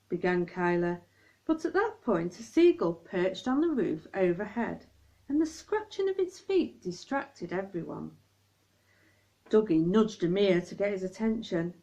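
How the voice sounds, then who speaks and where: A woman reading a children's story aloud expressively, acting out the dialogue with lively emphasis. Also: on the low side, low-quality recording.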